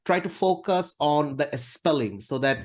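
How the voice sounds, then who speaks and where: A man's voice: a little high, animated, measured pace. A man interviewing a student, politely asking questions and summing up her answers at length. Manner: polite, summarizing and wordy. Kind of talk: casual interview.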